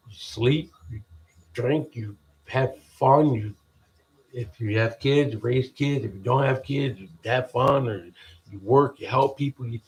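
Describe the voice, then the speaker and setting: A deep-voiced man from the United States hosting a podcast, giving a halting, rambling spiritual pep talk full of restarts. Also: low-quality recording. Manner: halting, restarts often, repeats "people". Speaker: podcast host.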